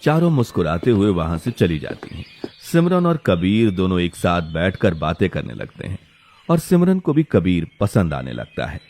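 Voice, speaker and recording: A man's voice: low-pitched, huge pitch swings, normal speed, darkish tone, slight background noise. A man delivering scripted dramatic narration for a story.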